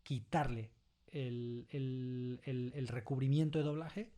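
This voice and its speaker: Hombre español enfático, de tono medio. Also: male voice, mid-range voice, fairly even intonation, takes it slowly, warm tone. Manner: emphatic, repeats phrases. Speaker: interview guest, scriptwriter. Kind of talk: casual interview conversation.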